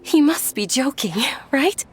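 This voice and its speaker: An actress playing a timid, gentle young noblewoman with a hesitant, delicate manner in a video game. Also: sits high, very animated, measured pace, soft background music. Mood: nervous.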